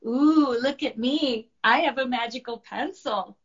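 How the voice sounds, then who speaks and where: A woman's voice: high-pitched, measured pace, breathy edge, lo-fi sound, pitched above the performer's own voice, full character voice. A woman voicing a silly, boastful male monster from a storybook, playful and blustering. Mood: mocking.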